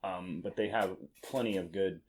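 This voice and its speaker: A man with a fairly low voice hosts a loose, unscripted review chat. He talks casually, teases a bit and keeps nudging the conversation back on track. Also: steady tone, moderate pace, darkish tone, husky, rough recording. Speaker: podcast host.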